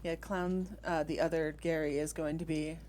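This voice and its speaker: A woman's voice: low-pitched, level tone, moderate pace, a little mellow, slight background noise. A woman chatting casually in an unscripted group conversation. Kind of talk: casual chat.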